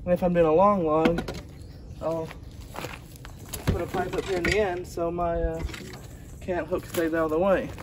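A man's voice: on the high side, flat delivery, moderate pace, long pauses. A man in his thirties casually explaining tips beside a sawmill outdoors by a barn, filming on a handheld phone. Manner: plainspoken, explains while working with his hands. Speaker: sawmill hobbyist showing tips. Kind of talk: casual how-to demonstration. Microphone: phone camera held by the speaker. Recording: outdoor phone audio with clear background noise.

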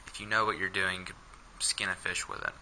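A man's voice: deep voice, flat delivery, fast, sharp tone, slight background noise, rough recording. A young man filming himself indoors with a head-mounted camera, casually walking through his camping gear with many ums and uhs. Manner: hesitant, many fillers. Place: small indoor room.

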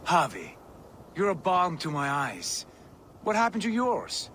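An actor playing an earnest, compassionate Norse god-warrior in a video game, speaking solemnly. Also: male voice, a little high, wildly swooping pitch, unhurried, fairly bright tone, a little hiss or hum, heavily acted. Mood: concerned. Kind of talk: cinematic video game acting.

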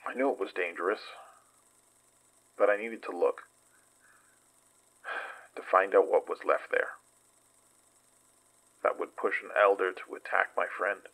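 Husky, low-voiced weary investigator. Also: male voice, steady tone, a bit bright, exaggerated voice, low-quality recording. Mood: weary, guarded. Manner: halting, hesitant recounting. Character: weary male investigator recounting a dangerous excursion. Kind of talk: horror audio drama acting.

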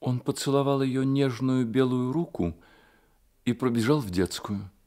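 A man's voice: mid-range voice, unhurried, dark tone. A man reading literary narration as the storyteller of a radio play.

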